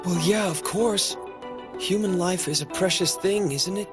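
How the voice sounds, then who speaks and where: A distressed anime high school boy, voiced by an actor, speaks with raw, earnest emotion. Music runs clearly behind the voice. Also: a little slow, close to an everyday voice. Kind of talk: anime dub acting.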